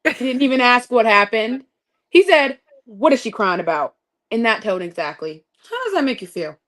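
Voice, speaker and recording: A young adult woman telling a story in casual conversation, expressive and full of vivid detail. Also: on the high side, normal speed.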